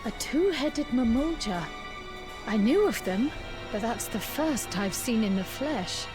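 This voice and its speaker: A woman's voice: on the high side, animated, measured pace. A woman voicing a polite, level-headed young scholar in a video game, composed and formal. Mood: curious. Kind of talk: scripted video game voice acting. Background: music.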